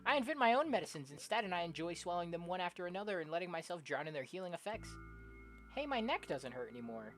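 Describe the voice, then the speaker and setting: A woman streamer doing a let's-play, reading visual novel text aloud with casual, slangy, expressive delivery. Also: on the low side, fast. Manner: expressive, often giggly, slangy. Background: game music.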